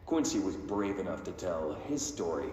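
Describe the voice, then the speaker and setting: Low-voiced late-night paranormal radio host. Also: male voice, big pitch swings, moderate pace, darkish tone, a little hiss or hum. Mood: earnest, mysterious. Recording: roomy echo with music behind.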